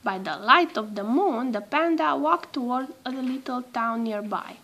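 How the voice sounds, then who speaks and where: Female storybook reader, high voice, slow careful delivery, expressive telling for young children. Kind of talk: read-aloud children's storytelling.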